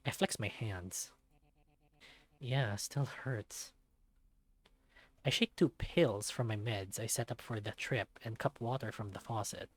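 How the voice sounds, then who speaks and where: A man's voice: on the low side, slow, pauses often. A man voicing a self-deprecating young male otter, narrating a visual novel in the first person with wry self-mockery. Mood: wry, matter-of-fact.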